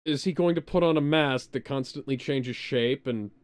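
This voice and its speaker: A man with a smooth, clean voice at a middling pitch gives casual watch-along commentary. He rambles as he goes, and the sound is close and dry. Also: moderate pace, no room sound. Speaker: show host.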